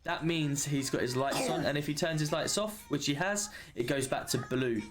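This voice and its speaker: A man's voice: on the high side, normal speed, over a noisy crowd. A man giving an informal, matter-of-fact walkthrough of a game system, explaining it step by step as a tutorial. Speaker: roleplay server member giving a tutorial.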